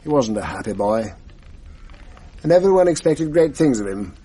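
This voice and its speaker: An actor playing a genial, chatty retired schoolmaster, older, in a 1980s radio drama. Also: male voice, medium pitch, big pitch swings, moderate pace, mellow, slightly rough. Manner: genial, chatty, old-fashioned.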